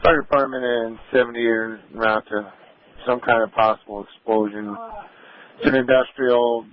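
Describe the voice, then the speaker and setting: A man's voice: mid-range voice, steady tone, moderate pace. Male police dispatcher on muffled radio. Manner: procedural, reading out addresses and codes. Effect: police two-way radio. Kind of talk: live emergency radio dispatch.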